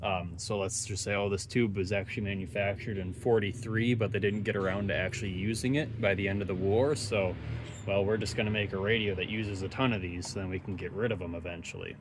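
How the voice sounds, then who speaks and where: A man's voice: lowish voice, brisk. A man giving casual, unscripted commentary on hands-on repair work, thinking aloud in an offhand, dryly joking way. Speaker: host of radio repair videos. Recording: steady background noise, ambient sound.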